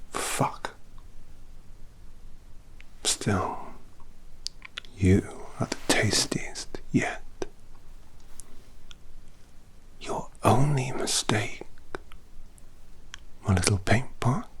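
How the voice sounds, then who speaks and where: A man's voice: fairly low pitch, animated, rough recording. A man voicing an unhinged, seductive male vampire artist in a whispered ASMR roleplay. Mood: menacing, gloating. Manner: soft, intimate, slow and teasing.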